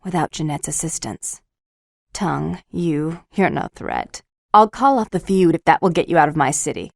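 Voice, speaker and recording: A woman's voice: sits low, moderate pace, creaky, darkish tone, no echo, clean studio sound. A woman playing a prim, cold, controlling businesswoman in a video game, speaking in a clipped, formal, haughty way.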